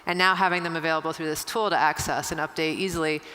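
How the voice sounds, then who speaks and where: A polished conference presenter speaks in a bright, crisp voice at a middle pitch. The delivery is smooth and corporate. The voice rings in a long hall reverb. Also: fairly even intonation, fast.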